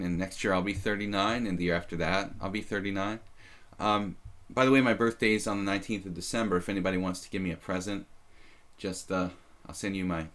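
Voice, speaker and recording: Deep-voiced man rambling over a chess stream. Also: a bit bright. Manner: rambling, self-deprecating, many fillers and restarts. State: slight leftover cough. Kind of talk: casual live-stream commentary.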